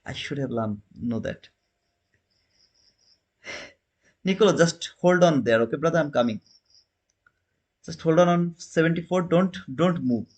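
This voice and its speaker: A man's voice: fairly high pitch, animated, a little slow, long pauses, darkish tone. A man in his thirties casually hosting a trading livestream, chatting with viewers and answering their comments with loose, restarting phrasing. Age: 30s. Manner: non-native phrasing, frequent restarts. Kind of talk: casual live-stream commentary.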